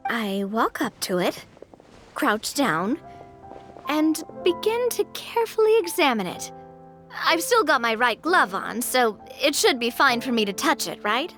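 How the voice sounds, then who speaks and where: A woman playing a spirited young female adventurer in an anime game, expressive and earnest. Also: high voice, measured pace, exaggerated voice. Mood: careful. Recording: music behind the voice.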